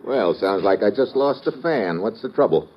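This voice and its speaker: An actor playing a wry, tough investigator in an old-time radio drama, with clipped, slangy delivery and first-person narration. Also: male voice, lowish voice, expressive, normal speed, a little hiss or hum, close to an everyday voice. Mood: wry, casual. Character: wry, tough, hard-boiled male investigator, quick with wisecracks. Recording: dull vintage radio recording with music.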